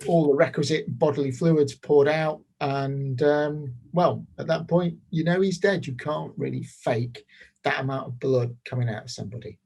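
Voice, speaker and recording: An actor playing a casually callous, self-justifying Roman governor giving courtroom testimony in a hesitant, dismissive, conversational way. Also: male voice, on the high side, steady tone, normal speed, gravelly, darkish tone, slightly rough. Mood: callous, matter-of-fact.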